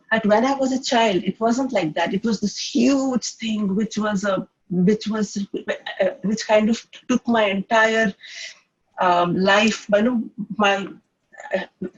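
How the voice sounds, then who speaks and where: An adult speaks with a stammer, stretching and repeating sounds between many fillers. The voice is breathy and husky at a mid pitch. It comes through a muffled, lo-fi online call line. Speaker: children's author and panelist. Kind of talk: panel discussion answer.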